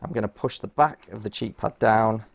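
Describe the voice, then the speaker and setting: Gravelly-voiced man giving a practical tutorial. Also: lowish voice, normal speed. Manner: practical, instructional, informal. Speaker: presenter of a how-to product guide. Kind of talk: hands-on step-by-step tutorial narration.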